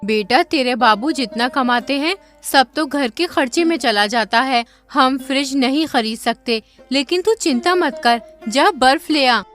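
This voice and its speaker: A woman voicing a gentle, weary poor mother in a cartoon story. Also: high voice, measured pace, hardly any pauses, soft background music. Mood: soothing. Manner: soothing, weary.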